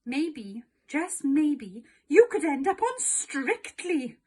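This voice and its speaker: A woman voicing a vain, excitable mum with a gushing, coaxing manner in a storybook. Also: high voice, slow pace, pitched above the performer's own voice, heavily acted. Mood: hopeful, excited. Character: vain, excitable, hopeful mum who loves dancing.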